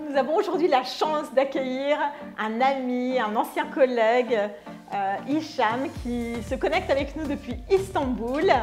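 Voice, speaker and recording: A woman's voice: high voice, a little slow, music in the background. A middle-aged woman co-hosting a conversational interview, reflective and searching for her words. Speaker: co-host, artistic director.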